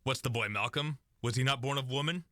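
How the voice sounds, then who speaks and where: A defiant warrior tyrant played by a man declaims in a Shakespearean radio drama. The voice is bright, hard and commanding at a middle pitch, scornful throughout, in a dry recording. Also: fairly quick, no echo, close to an everyday voice. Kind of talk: Shakespearean radio play acting.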